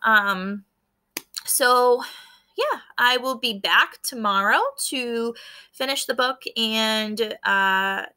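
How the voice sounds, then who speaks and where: A woman's voice: a little high, very slow, sharp tone, clear, resonant tone. A woman schoolteacher reading a children's book aloud and explaining it directly to her pupils. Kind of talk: children's read-aloud with teaching commentary.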